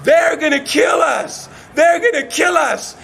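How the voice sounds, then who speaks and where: Desperate mother panther, acted by a man: high, bright cries and repeated warnings in an oral tale. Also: big pitch swings, measured pace, a little hiss or hum, heavily acted. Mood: panicked. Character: desperate pleading mother big cats. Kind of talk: oral storytelling.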